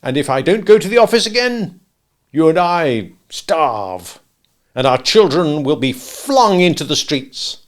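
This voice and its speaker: A man reading a storybook and voicing a pompous, melodramatic middle-aged father who rants loudly and sarcastically. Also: sits high, animated, moderate pace, pitched above the performer's own voice. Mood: stern. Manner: loud, sarcastic ranting.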